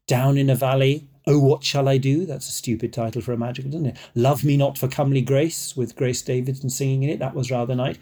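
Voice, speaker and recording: Mellow-voiced man explaining music. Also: medium pitch, steady tone, quick pace, few pauses. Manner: digressive, hesitant, scholarly. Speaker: guest musician. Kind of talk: chatty expert explanation.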